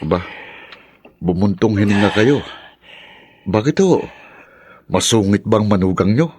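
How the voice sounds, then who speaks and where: A man's voice: fairly low pitch, expressive, unhurried, darkish tone, breathy edge. A man with a Filipino accent playing a respectful, inquisitive family friend in a radio drama. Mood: friendly, concerned. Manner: respectful, concerned. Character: friendly, inquisitive male best friend of the son.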